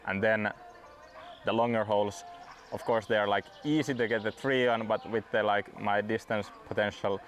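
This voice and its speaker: A young man whose first language is Swedish, chatting in a relaxed interview and padding his sentences with "like". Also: fairly low pitch. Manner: relaxed, fills every pause with "like". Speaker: guest disc golf player. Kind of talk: casual interview chat.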